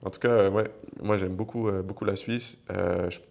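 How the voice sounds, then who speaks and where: A man's voice: sits low, moderate pace, creaky. Joking young man from Savoie. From Savoie, France. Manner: relaxed, joking, many fillers. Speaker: host. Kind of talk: casual interview chat.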